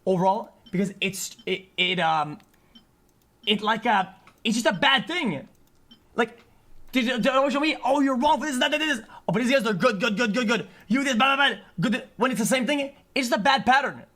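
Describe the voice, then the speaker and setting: A man's voice: very high-pitched, animated, moderate pace, bright, forceful tone. A man livestreaming an unscripted, agitated rant to his chat, swearing often and stumbling over repeated words.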